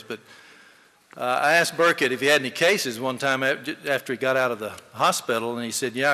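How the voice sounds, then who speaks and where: A man's voice: medium pitch, pushed and bright. A man hosting a memorial gathering, speaking informally and telling anecdotes to people in a large hall. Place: large indoor hall before an audience. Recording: echoey hall with long reverb.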